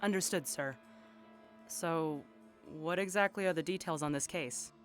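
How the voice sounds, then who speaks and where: A woman's voice: low voice, unhurried, faint music behind. A young woman playing a guarded, sardonic private investigator in an audio drama, with a dry, reluctant delivery.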